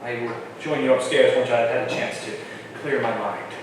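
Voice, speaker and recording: An actor playing a principled, stubborn colonial record keeper in a staged drama, speaking formally and earnestly. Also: male voice, medium pitch, fairly even intonation, normal speed, background noise, natural voice. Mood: earnest resolve. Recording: very echoey hall, far from mic.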